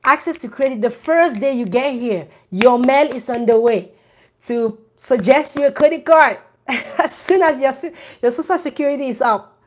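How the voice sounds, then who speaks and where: A woman's voice: high-pitched, a little hiss or hum. A woman from Cameroon in her thirties, hosting a live finance Q&A, speaking earnestly and often repeating and restarting her phrases. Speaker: personal finance livestream host. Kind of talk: casual live Q&A answering viewer questions. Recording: dull lo-fi livestream audio.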